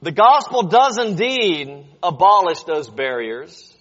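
A man's voice: sits high, sing-song, slow pace, fairly bright tone. A man preaching a Bible lesson, explaining patiently and checking in with his listeners through rhetorical questions. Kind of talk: sermon. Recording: dull audio with long hall reverb.

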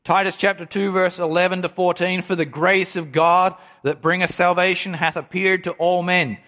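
A pastor in his forties with a fairly high voice preaches a sermon. He reads scripture aloud and then explains it, slowing down and pressing hard on the words that matter. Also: fairly even intonation, pushed and bright. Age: 40s. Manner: emphatic preaching, slows down to stress key phrases.

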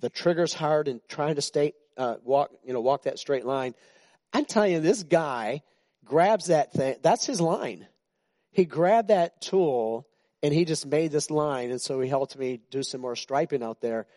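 A pastor in his sixties preaches in a loose, conversational way, joking with his flock and stumbling over restarts. His voice sits a little high for a man. The sound is dry and close, with almost no room echo. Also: lively intonation, measured pace, mellow. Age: 60s. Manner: chatty and joking, with frequent restarts. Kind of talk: informal sermon to a congregation. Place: church.

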